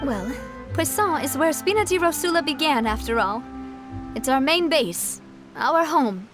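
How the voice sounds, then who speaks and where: A spirited young lady leader from a video game, with a high voice. She sounds earnest, proud and determined, delivering lines expressively. Music runs clearly underneath. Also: expressive, measured pace. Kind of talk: video game cutscene acting.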